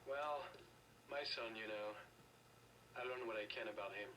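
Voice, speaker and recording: A man's voice: on the low side, unhurried, bright, forceful tone. An actor playing a defiant, bitter French father in a video game, speaking with a French accent. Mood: guarded, stubborn. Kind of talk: video game dialogue acting. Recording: distant, noisy game capture with ambience.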